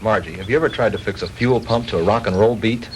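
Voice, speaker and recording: An actor playing a brisk, frustrated young boyfriend in a 1960s B-movie. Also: male voice, fairly low pitch, close to an everyday voice. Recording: noisy 1960s film soundtrack.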